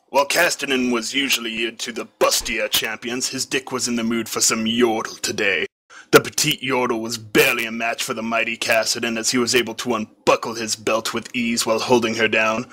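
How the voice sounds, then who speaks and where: A man's voice: mid-range voice, level tone, normal speed, sharp tone. A man reading a comic fan fiction story aloud as scripted narration.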